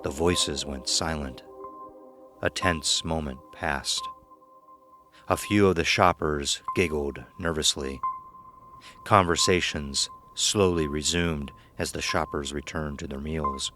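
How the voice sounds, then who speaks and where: A man narrating a scripted horror story in a measured, dramatic, suspenseful way. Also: extremely low pitch, music in the background.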